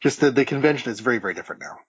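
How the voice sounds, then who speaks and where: A man's voice: medium pitch, expressive, very fast, a bit bright, breathy edge. A man co-hosting a podcast, casually recapping and discussing with enthusiastic, rambling asides. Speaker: podcast co-host. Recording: dull, lo-fi audio, slightly roomy.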